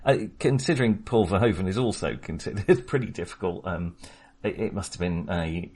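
A man's voice: low-pitched, animated, a little fast, a little mellow, slightly rough. A man co-hosting a casual film chat, talking hesitantly with many restarts. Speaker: podcast co-host.

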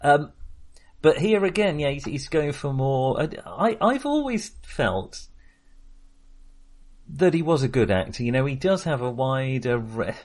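A man with an average-pitched voice talks casually about films as a podcast co-host. He rambles a little and hesitates, working out his thoughts as he speaks. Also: sing-song, unhurried, a little mellow. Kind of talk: casual film discussion.

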